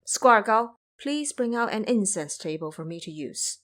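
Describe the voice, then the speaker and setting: A woman playing a pious male monk master, formal, measured and courteous, in an audio drama. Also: medium pitch, animated, fairly bright tone. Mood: pleased.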